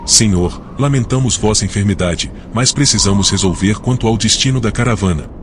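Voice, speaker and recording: A man plays an experienced desert camel driver in an audiobook drama, a superstitious servant with a low voice. He speaks gravely and warily, with slight noise behind him. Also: fairly quick, close to an everyday voice. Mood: concerned. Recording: faint background noise.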